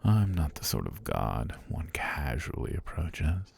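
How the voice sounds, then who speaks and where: Man as a breathy, deep serpent god. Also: very animated, a little mellow, heavily acted. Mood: wistful, gentle. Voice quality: husky, breathy low voice. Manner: slow, deliberate, formal speech with whispery delivery. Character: ancient male serpent god, calm, predatory yet tender. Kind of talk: intimate ASMR roleplay monologue.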